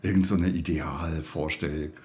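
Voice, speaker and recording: A man with a low voice and a Swiss accent speaks in casual conversation. He sounds like an interviewer sharing his own thoughts as he puts questions. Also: fairly even intonation, normal speed, a little mellow, slight background noise. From Switzerland.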